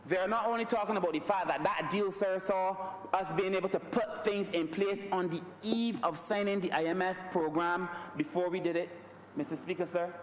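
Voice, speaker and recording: A man's voice: high voice, moderate pace, soft background music. A Barbadian man giving a rhetorical parliamentary speech, repeatedly addressing the Speaker as sir. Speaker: member of parliament. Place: large parliament chamber. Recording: echoey chamber, distant mic.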